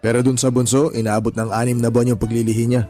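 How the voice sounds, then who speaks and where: A man's voice: medium pitch, level tone, fast, warm tone, soft background music, lightly acted. An actor playing a married father, a sympathetic friend chatting matter-of-factly in a radio drama. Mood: reassuring, chatty. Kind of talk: Tagalog radio drama dialogue.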